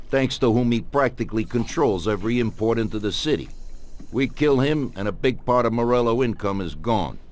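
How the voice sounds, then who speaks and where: An actor playing a calm, commanding older Italian-American mafia boss in a video game cutscene. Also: male voice, lowish voice, lightly acted. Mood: calculating.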